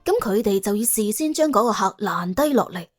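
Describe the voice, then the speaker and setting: Female Cantonese audiobook narrator: husky, lively swings in pitch, wry dramatic storytelling. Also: fairly quick. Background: soft background music.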